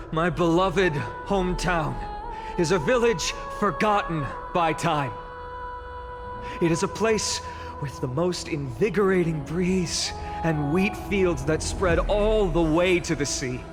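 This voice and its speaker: A solemn young hero speaks to a whole city in a game cutscene. His voice sits high and his delivery is slow and deliberate, each phrase weighed. Orchestral music plays strongly beneath him. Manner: solemn, impassioned public address. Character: young male hero, earnest orator addressing a city.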